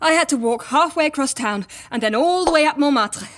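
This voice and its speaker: A woman's voice: high-pitched, lively intonation, brisk, a bit bright. An actress playing a flustered, put-upon woman with an exasperated, complaining manner, in an audio drama. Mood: flustered, bewildered.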